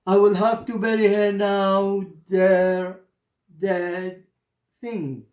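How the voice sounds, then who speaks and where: A man's voice: sits high, level tone, drawn-out words, darkish tone. A man with an Iraqi Arabic accent playing a soulful, remorseful merchant in dramatic, theatrical storytelling. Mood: anguished grief. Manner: dramatic, lamenting. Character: grieving, remorseful male merchant, bird owner. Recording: echoey room.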